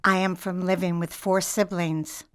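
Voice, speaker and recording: A woman's voice: on the low side, flat delivery, measured pace, smooth. An older woman reciting personal memories in a reflective way.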